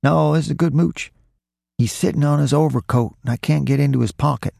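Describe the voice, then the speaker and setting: A man's voice: mid-range voice, lively intonation, moderate pace, creaky, dark tone, no room sound, studio quality, pitched above the performer's own voice. A man voicing a pushy, hard-boiled pickpocket with an insistent, slangy manner in a crime story reading. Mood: urgent, bossy. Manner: insistent, slangy, street-tough.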